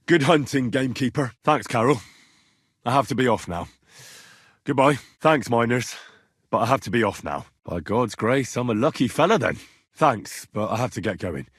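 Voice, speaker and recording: A man acting a young, plain-spoken medieval retainer in a video game, earnest and curious, with an expressive, conversational delivery. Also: mid-range voice, husky, no echo, clean studio sound. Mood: polite, friendly. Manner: conversational, expressive, questioning, folksy turns of phrase.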